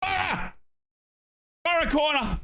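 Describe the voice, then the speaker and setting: A man hosting a live fan watchalong, calling a football match in excitable play-by-play and chanting. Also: extremely high pitch, slow. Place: home office.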